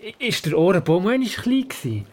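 A man's voice: high voice, big pitch swings, quick pace, darkish tone. An actor with a Swiss German accent playing a curious, imaginative grandson who narrates his adventures in a radio play. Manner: inquisitive, childlike storytelling. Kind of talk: scripted first-person radio play narration.

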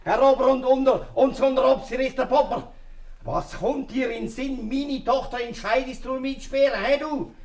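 A man's voice: extremely high pitch, moderate pace, a little mellow. An actor playing a stubborn, combative old innkeeper father with a Zurich Swiss German accent in a 1950s radio comedy. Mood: outraged. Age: older. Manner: cursing, combative. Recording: distant mic in old radio production.

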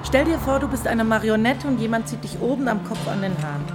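Actress as a firm caring woman, higher voice, direct and instructing, radio drama, clear music underneath. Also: fast talker, natural voice. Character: firm, caring woman coaching and scolding an injured patient. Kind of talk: radio play dialogue. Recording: music behind the voice.

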